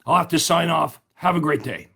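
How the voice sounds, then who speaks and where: A man's voice: on the high side, moderate pace, gravelly, bright, forceful tone, breathy edge. A middle-aged man coaching real estate agents in an upbeat way, often asking the audience questions, while his voice is giving out. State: losing his voice. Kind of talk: webinar-style coaching talk.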